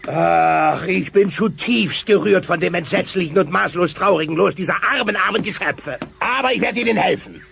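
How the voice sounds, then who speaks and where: Gravelly, blustering dinosaur boss. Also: male voice, sits high, moderate pace, few pauses, a bit bright, exaggerated voice. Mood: falsely sympathetic. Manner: theatrical, mock-sympathetic, condescending. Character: scheming, greedy, bullying dinosaur company boss. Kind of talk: children's audio drama acting. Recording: dull lo-fi cassette transfer.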